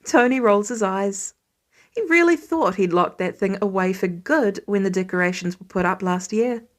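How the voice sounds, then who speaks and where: A woman's voice: medium pitch, expressive, normal speed. A woman reading a scripted story aloud as an audiobook narrator. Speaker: fan fiction audiobook reader.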